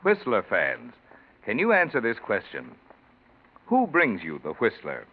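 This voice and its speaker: A man's voice: on the low side, huge pitch swings, measured pace, husky, soft background music. An American man announcing a sponsor message in an emphatic, polished 1940s radio advertising style. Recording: muffled, narrow 1940s radio recording.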